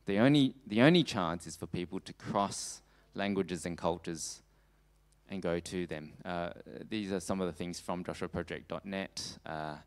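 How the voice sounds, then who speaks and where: A man gives a church talk in a low, smooth voice. He moves haltingly through his sentences, stopping partway through phrases before carrying on. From Australia. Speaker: preacher giving a talk on world missions. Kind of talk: sermon with slides, closing in prayer.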